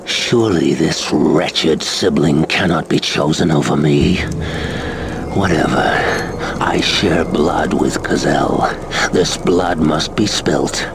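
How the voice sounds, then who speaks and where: Super deep gravelly dark warrior from a fantasy game, slow n menacing, out for blood, noisy audio. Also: male voice, heavily acted. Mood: grim, menacing. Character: brooding vengeful dark male warrior serving a master. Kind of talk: fantasy video game voice acting. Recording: noisy game cutscene capture.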